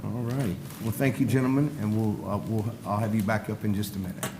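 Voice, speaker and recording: A man chairing a city code enforcement board, courteous and relaxed. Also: a little fast. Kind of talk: chairing a public meeting. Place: city council chamber. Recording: echoey room with background rumble.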